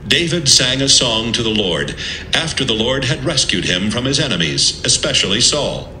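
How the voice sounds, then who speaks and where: A man narrating an audio Bible from a script in a measured, serious manner. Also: medium pitch, very animated, pushed and bright, slight background noise. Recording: roomy sound with music underneath.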